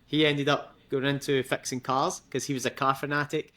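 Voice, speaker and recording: A man's voice: medium pitch, little pitch movement, moderate pace, fairly bright tone. A young man with a Scottish accent, a guest in a casual interview, talking in a chatty way with frequent laughs and fillers. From Scotland.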